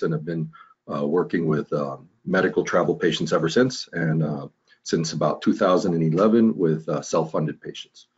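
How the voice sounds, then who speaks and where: A man from Costa Rica who works in medical tourism, answering interview questions in a calm, measured way on a video call. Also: sits low, breathy edge. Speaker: hospital medical tourism director. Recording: dull video call audio.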